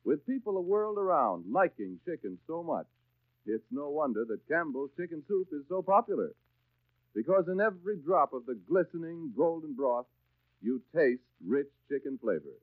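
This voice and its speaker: An American man works as a vintage 1930s radio announcer, selling with polished, folksy emphasis. His fairly high voice swoops in animated intonation, heard through a thin, band-limited old recording. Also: normal speed, no room sound, narrow, tinny audio. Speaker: radio announcer and sponsor's pitchman. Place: radio studio.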